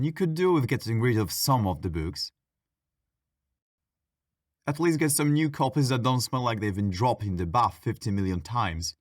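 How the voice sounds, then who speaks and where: A man's voice: fairly low pitch, no echo, clean studio sound, natural voice. A man playing a petulant, mischievous ghost who haunts a library, talking in a sassy, casual, complaining way in an ASMR audio roleplay.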